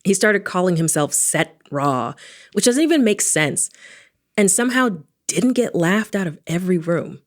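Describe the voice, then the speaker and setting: A woman's voice: fairly low pitch, animated, moderate pace, natural voice. An actress playing a sardonic woman engineer who investigates the paranormal, narrating an audio drama in an informal, irreverent way. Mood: descriptive. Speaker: protagonist narrator.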